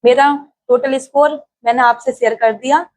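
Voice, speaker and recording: A woman's voice: sits high, steady tone, normal speed. A young woman from India talking casually to her viewers in a vlog, speaking steadily with frequent hesitations. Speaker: exam-prep YouTuber.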